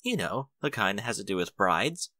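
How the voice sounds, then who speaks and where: A man's voice: fairly low pitch, big pitch swings, normal speed, bright, forceful tone, no echo, studio quality, full character voice. A man voicing a refined, polite lady with a fussy, genteel manner for a story reading. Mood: excited, knowing.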